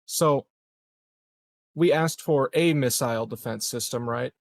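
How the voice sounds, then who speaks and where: A man's voice: mid-range voice, unhurried. A man chatting casually as a player in a tabletop roleplaying game, negotiating with the game master.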